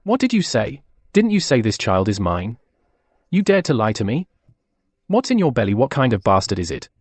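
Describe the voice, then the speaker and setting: A man's voice: medium pitch, big pitch swings, normal speed, breathy edge, dry recording. A man dubbing an outraged, betrayed man who hurls accusations. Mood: furious. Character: furious betrayed man of a rich family. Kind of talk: dubbed short drama acting.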